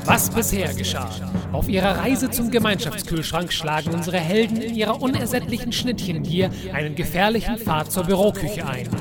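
An actor playing a bombastic, suspenseful announcer, narrating a theatrical adventure serial. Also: male voice, high voice, animated, moderate pace. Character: bombastic male serial announcer recapping cliffhangers. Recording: loud music under the voice.

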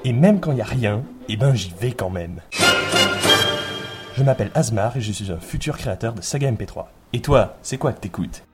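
Man playing an animated, eager cartoonist in a promo. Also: medium pitch, moderate pace, music in the background, lightly acted. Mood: playful, eager. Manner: casual, playful. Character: young cartoonist pitching himself, jokey and eager. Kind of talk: comic scripted radio promo.

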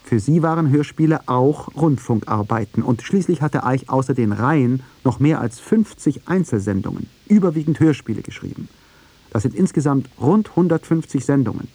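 Male radio narrator, slightly husky, dry sound. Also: medium pitch, animated, normal speed, dark tone, dry recording. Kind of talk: scripted radio feature, argumentative narration.

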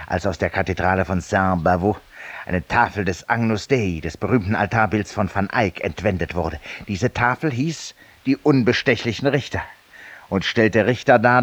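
An actor playing a feverish, weary, self-styled judge-penitent in a 1950s radio drama, confiding ironically and theatrically in a silent listener. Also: male voice, low voice. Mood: sly, proud. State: feverish and tired, with coughs.

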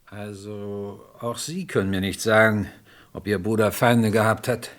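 An actor playing an insistent, methodical police inspector in a radio crime drama, questioning formally and probingly. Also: male voice, fairly low pitch, measured pace, a bit bright.

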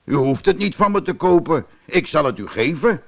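A man's voice: a little high, moderate pace, pitched above the performer's own voice. Een man die een slimme rijstboer in een sprookje speelt, onderdanig beleefd en listig. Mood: courteous. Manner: deferential, polite, calmly cunning. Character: shrewd rice farmer, humbly courteous to a tiger. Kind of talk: storybook character voice.

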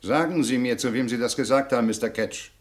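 A man's voice: mid-range voice, brisk, close to an everyday voice. An actor playing a stern, patient, insistent police inspector in a radio crime play, formal and controlled as he probes.

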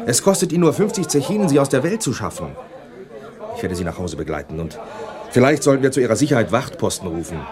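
An actor playing a deferential, anxious gentleman who warns with urgent courtesy, in a German radio drama. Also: medium pitch, big pitch swings, fast, lightly acted. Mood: urgent, warning. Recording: voices of a crowd behind.

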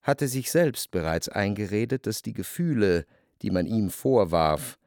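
An actor playing a reflective, ironic gentleman narrator in a radio drama, articulate and analytical in his literary telling. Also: lowish voice, expressive, unhurried, creaky, dry recording, studio quality.

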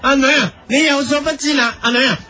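A very high, bright voice from a man acting as a talkative father in a comic radio drama. He explains patiently to his young daughter, circling back and coaxing as he goes. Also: steady tone, quick pace, soft background music.

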